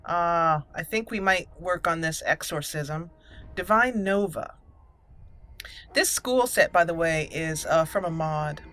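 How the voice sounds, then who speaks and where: Slow-paced woman narrating her gameplay. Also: lowish voice, a bit bright. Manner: thinks aloud, deliberate, reads out menu items. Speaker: gaming channel host. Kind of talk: casual roleplay game commentary. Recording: noticeable background noise and game ambience.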